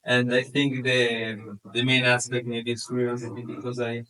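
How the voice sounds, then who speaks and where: A man's voice: medium pitch, completely flat delivery, normal speed, pushed and bright, far from the mic, a little hiss or hum, poor audio. A man introducing himself at a workshop in a friendly, slightly rambling way. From Morocco, raised in Italy. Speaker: workshop participant.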